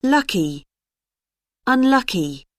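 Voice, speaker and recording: Woman, slightly high voice, very slow, reads single vocabulary words as a pronunciation model. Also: very animated, dry recording, studio quality. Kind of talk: isolated word pairs read for learners.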